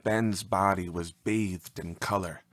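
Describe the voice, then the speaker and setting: Male narrator, scripted storytelling, slow pace, expressive and dramatic, dry close sound. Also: low-pitched, dry recording.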